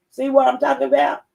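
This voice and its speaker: Host: gravelly-voiced woman on radio talk, emphatic testifier, a bit off-mic. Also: high voice, a little mellow, recorded from a distance. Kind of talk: radio talk show conversation. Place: radio studio.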